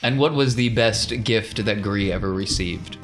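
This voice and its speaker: A man's voice: fairly low pitch, measured pace, soft background music. A man hosting a tabletop role-playing podcast as game master, with a teasing, jokey manner.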